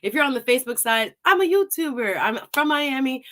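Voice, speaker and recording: A woman's voice: sits high, animated, a little fast, fairly bright tone. Upbeat woman hosting a talk show. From Jamaica. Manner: chatty, upbeat, laughs often. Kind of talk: live-stream talk show intro.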